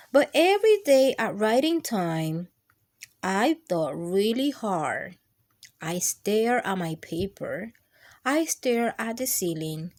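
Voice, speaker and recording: Female children's storybook narrator, highish voice, very lively intonation, expressive and deliberate. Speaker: storytime host reading picture books.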